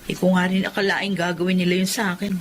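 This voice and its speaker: A woman's voice: on the low side, level tone, quick pace, a little hiss or hum, natural voice. An actress playing an earnest, principled young woman lawyer in a Filipino radio drama, speaking with open emotion. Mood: hurt, wistful.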